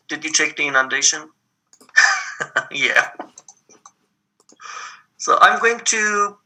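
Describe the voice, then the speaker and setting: Slow, patient man with a Persian accent. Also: on the high side, bright, forceful tone, poor audio. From Iran. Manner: patient, explains step by step with many ums. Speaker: lab instructor. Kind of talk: live online coding lab.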